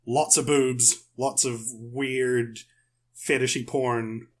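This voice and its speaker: A man's voice: mid-range voice, fairly even intonation, slow, slight background noise. A man speaking informally in a panel discussion.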